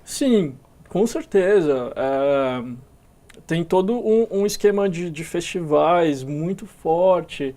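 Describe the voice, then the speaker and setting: Young guy from minas, musician on an interview, easygoing, lots of um and restarts, mellow tone. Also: on the high side, slow. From Minas Gerais, Brazil. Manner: relaxed, hesitant, many fillers and restarts. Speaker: musician guest. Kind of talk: casual interview talk.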